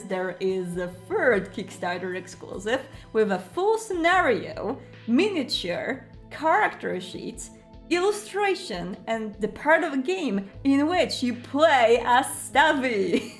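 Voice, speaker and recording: A woman's voice: a little high, very animated, measured pace, soft background music. A woman hosting a casual video review, chatty and enthusiastic, with an informal, gushing way of talking. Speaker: YouTube host reviewing a board game.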